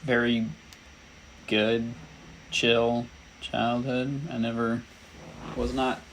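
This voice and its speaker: Young guy from st louis chatting easy, kinda gravelly, faint hiss behind. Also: mid-range voice, very slow, poor audio. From St. Louis, Missouri. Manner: relaxed, hesitant with many ums. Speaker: podcast host. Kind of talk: casual conversation.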